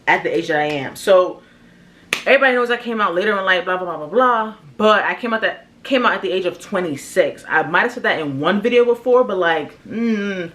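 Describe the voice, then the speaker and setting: A woman's voice: medium pitch, expressive, fairly quick, a bit bright, a little hiss or hum. A woman in her early thirties with an American accent, talking candidly and casually to her viewers in slangy, conversational language. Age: early 30s. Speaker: vlogger. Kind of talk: casual personal reflection to viewers.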